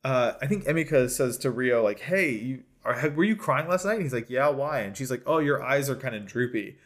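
A man's voice: medium pitch, lively intonation, fairly quick, a bit bright. A man co-hosts a casual podcast, chatting about a show with a voice that swoops up and down. He laughs often and trips over his restarts. The talk stays loose and conversational. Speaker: podcast co-host. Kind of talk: casual chat recapping a reality show.

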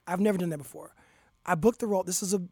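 Animated storyteller, guest performer, mid pitch, close dry mic. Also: racing, husky, no room sound. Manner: animated storyteller, acts out quotes. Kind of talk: casual chat, storytelling.

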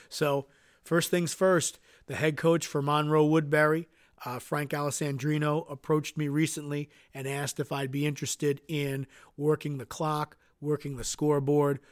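A man's voice: fairly high pitch, no room sound, studio quality. A man hosting a solo podcast, earnest and dotted with uhs, sounding nasal from a cold. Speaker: podcast host.

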